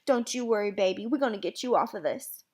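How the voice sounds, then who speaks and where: A woman's voice: mid-range voice, animated, fast talker, darkish tone, natural voice. A woman voicing a worried, tender mother in a story, with a Southern US accent and a shaky voice. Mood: tender worry.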